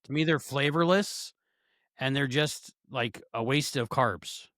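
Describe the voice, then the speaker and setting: A man's voice: mid-range voice, measured pace, fairly bright tone, dry recording, studio quality. A man co-hosting a podcast in casual chat, teasing and making jokey asides. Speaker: podcast co-host.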